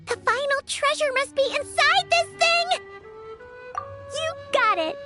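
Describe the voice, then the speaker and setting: A woman voicing a playful, excitable childlike little character in a video game, with a cutesy, exuberant manner. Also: very high-pitched, slow, music in the background. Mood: excited. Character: excitable, playful little girl and tiny fairy companion, childlike.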